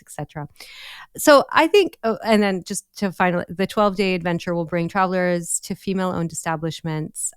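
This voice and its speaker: A woman co-hosts a podcast, chatting about the news in an easy, halting way with plenty of ums. Her voice sits a little low and sounds smooth and clean. The recording is dry and close, with no room sound. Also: no room sound. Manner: hesitant, many ums and restarts, frequent laughs. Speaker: podcast co-host. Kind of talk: casual news discussion.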